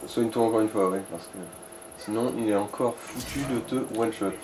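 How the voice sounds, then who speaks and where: A man's voice: on the low side, steady tone, a little fast. A man giving casual, blunt game commentary and advice to a player, with crude slang. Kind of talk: casual let's play commentary. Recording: light background noise.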